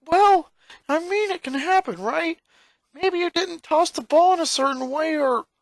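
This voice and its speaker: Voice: very high-pitched, measured pace, no echo, voice pushed up. A story reader voicing an anxious, scheming boy who speaks hesitantly and carefully. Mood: nervous, sheepish. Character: anxious, scheming middle-child boy, tentative and sheepish.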